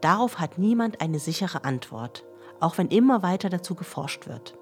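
A woman's voice: on the low side, animated, moderate pace, soft background music, natural voice. A woman playing a matter-of-fact science explainer in a children's radio play, talking to listeners in a didactic way. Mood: instructive. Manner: clear, didactic, addressing listeners directly.

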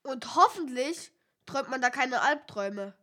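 A woman's voice: high-pitched, expressive, moderate pace, pushed and bright. A woman co-hosting a scripted children's radio show, asking curious, eager questions. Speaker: co-host.

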